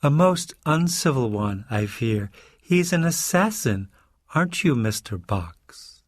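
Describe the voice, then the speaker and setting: A theatrical American villain voiced by a man reading a story, pitched above the reader's own voice. He is smug and pompous, with sharp menace under the genteel phrasing. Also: expressive, measured pace, no room sound, studio quality. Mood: gloating.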